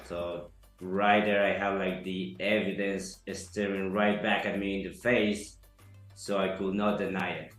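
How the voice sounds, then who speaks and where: A man from Ecuador, giving an earnest, halting testimonial interview full of fillers. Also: deep voice, flat delivery. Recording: echoey room, soft music behind.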